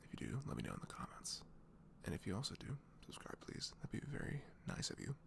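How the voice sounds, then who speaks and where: Creaky, half-whispering casual man. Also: fairly low pitch, huge pitch swings, fast. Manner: self-deprecating, informal. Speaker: audio roleplay creator. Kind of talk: casual intro, ASMR.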